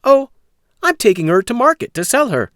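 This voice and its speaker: A man voicing a sharp young farm boy, polite but stubborn, in a storybook. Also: very high voice, sing-song, moderate pace, no echo, studio quality, pitched above the performer's own voice, full character voice. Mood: polite, curious.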